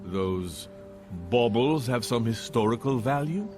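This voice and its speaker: An actor playing a haughty, shrewd dwarf baron in a video game, speaking in a formal, imperious and deliberate way. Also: male voice, medium pitch, animated, darkish tone, natural voice. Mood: skeptical. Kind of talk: video game cutscene acting. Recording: game music behind the voice. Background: game music.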